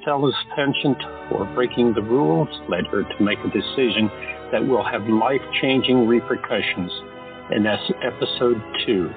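A man narrating an audio drama in a measured storytelling delivery. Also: medium pitch. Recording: tinny phone-like sound with faint music.

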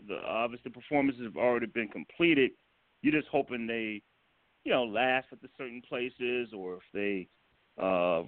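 A man speaks at a middling pitch over a thin, phone-like line. He explains in a casual interview style, halting as he goes and chuckling here and there. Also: no echo. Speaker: guest, stage and film director. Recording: narrow phone-line sound.

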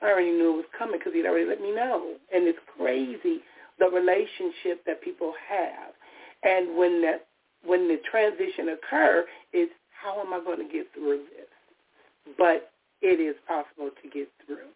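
Raspy woman on a tinny phone line. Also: on the low side, normal speed. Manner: heartfelt, personal, with restarts and stammers. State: recovering from a cold. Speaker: radio show host and therapist. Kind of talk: solo internet radio talk.

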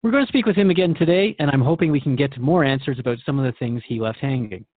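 Man acting as radio interviewer, mid-pitched, inquisitive, courteous, conversational, audio drama, dry dull recording. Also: fast, no room sound, close to an everyday voice. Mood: introductory. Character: male radio host, curious, polite interviewer. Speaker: radio host.

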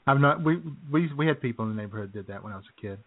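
A man's voice: lowish voice, animated, rapid-fire. A man co-hosting a comedy radio show in casual, sardonic banter with crude humour. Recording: narrow phone-like audio.

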